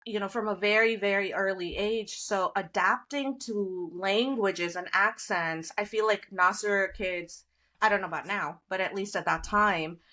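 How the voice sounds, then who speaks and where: A woman in her forties from India, speaking as a guest in a relaxed conversation. Her voice is bright and forceful, and her delivery is animated, with frequent laughter as she tells her stories. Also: medium pitch, a little slow. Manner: animated, laughs often while telling stories. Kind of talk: casual conversation.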